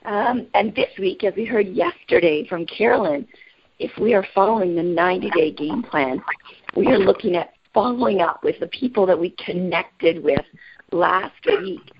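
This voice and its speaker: A woman with a raspy voice today, leading a motivational group call in an upbeat, energetic way and asking the group questions. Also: medium pitch, normal speed, dull audio, noisy background. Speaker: team call leader.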